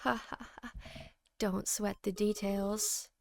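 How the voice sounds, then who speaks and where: A woman's voice: medium pitch, little pitch movement, slow, creaky, darkish tone. A woman voicing a smug, flippant male game master in a painting, teasing in slangy drawl.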